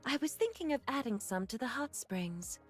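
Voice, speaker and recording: An actress voicing a poised, courteous hot spring owner in a video game, speaking politely and in measured phrases. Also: female voice, a little high, very animated, soft background music. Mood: courteous, inquiring. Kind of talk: video game character dialogue.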